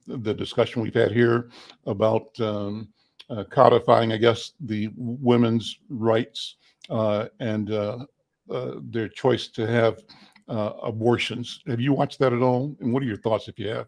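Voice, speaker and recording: Gravelly man hosting an interview. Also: mid-range voice, steady tone, darkish tone. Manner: measured, with many "uh" pauses and affirming "mm-hmm"s. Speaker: interview host. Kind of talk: interview, asking questions.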